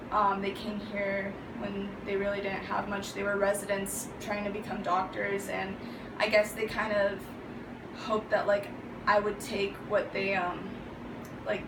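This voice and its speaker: A young woman born in the US answering questions casually, with frequent likes and ums. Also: medium pitch. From United States. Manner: chatty, says like often. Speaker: interviewee. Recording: echoey room from far off, hissy.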